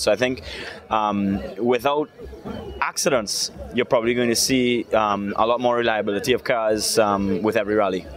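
A man in his thirties with a Trinidadian accent, a rally club president giving a relaxed interview outdoors, with many pauses and fillers. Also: on the low side. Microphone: handheld interviewer mic. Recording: outdoor event with crowd noise. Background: crowd chatter.

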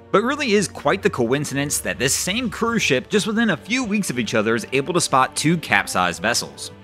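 A man hosting a weekly boating news roundup, reading scripted stories in a lively, rising and falling voice-over. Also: fairly high pitch. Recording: voice-over with faint background music.

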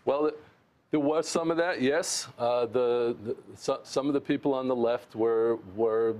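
A man's voice: mid-range voice, steady tone, darkish tone. A man in his sixties from the United States, a former mayor interviewed remotely on television, speaking earnestly with frequent restarts on a lapel mic. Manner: earnest, halting with many restarts. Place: remote studio.

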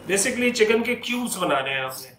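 A man's voice: sits high, big pitch swings, normal speed, fairly bright tone, reverberant, a little hiss or hum. A middle-aged man hosting a home cooking channel, explaining each step patiently while he chops at the counter. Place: home kitchen, indoors.

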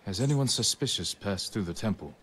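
Deep, solemn samurai lord over ambient noise. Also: male voice, animated, fairly quick, fairly bright tone. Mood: calm, courteous. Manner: restrained, grave, terse. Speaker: video game protagonist. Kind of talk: dramatic video game acting.

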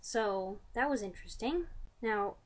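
A woman's voice: mid-range voice, animated, a little slow. A woman in her twenties chatting casually to camera, giving an enthusiastic fan review in informal slang. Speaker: vlogger reviewing radio drama. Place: small furnished room indoors.

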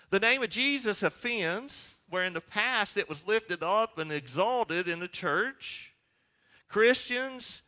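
A man's voice: fairly high pitch, sharp tone. A man in his sixties preaching a sermon as pastor, speaking emphatically and posing questions that he answers himself. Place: church sanctuary.

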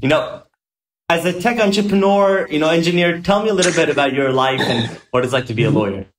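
A man's voice: on the high side, fast, roomy sound, slight background noise, rough recording. Eager young male host, video call, chatty with fillers. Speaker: podcast host. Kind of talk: interview hosting over video call.

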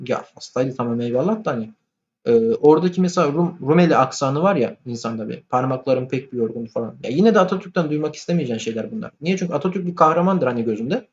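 A man chatting casually and colloquially about films, opinionated and animated. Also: mid-range voice, fast, highs cut off.

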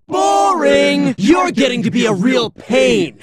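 Teenage shadow villain, very high, shouting, sneering, game, crowd noise behind. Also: male voice, big pitch swings, takes it slowly, full character voice. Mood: mocking. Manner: aggressive, mocking, yelling. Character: unhinged, sneering teenage boy's shadow self.

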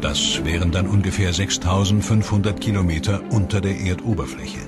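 A man narrating a science documentary in a calm, measured, scripted delivery. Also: deep voice. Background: music.

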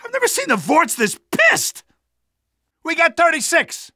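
Jumpy high-pitched tunnel fighter. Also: male voice, huge pitch swings, unhurried, bright, forceful tone, no room sound, clean studio sound, exaggerated voice. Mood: frantic shouting. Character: jumpy, wisecracking male resistance fighter defending a tunnel. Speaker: video game minor character. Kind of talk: video game voice acting.

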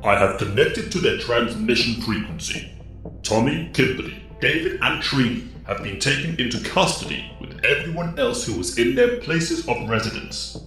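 A man's voice: mid-range voice, expressive, moderate pace, fairly bright tone, natural voice. Grave male mentor, acted by a man, formal, solemn, audio drama, echoing, varied intonation. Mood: grave, firm. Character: calm, grave male mentor commanding superhero rangers. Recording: echoing voice over music.

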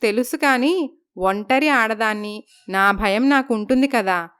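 A worried young wife, hurt and reproachful, as a woman acts her out. She pleads with her husband in a clear, smooth voice pitched a little high. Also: measured pace, fairly bright tone. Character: wronged young first wife, anxious.

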